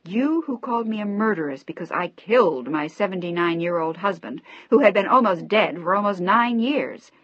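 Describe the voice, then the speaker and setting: A woman voicing a furious young daughter who shouts and sobs her accusations, in a dry, slightly dull recording. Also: lowish voice, moderate pace, dry recording.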